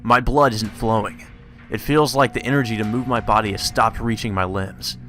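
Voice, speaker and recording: A man reading a visual novel aloud as a let's player, expressive and given to profane asides. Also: lowish voice, normal speed. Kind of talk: gaming commentary mixed with read-aloud narration. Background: game music.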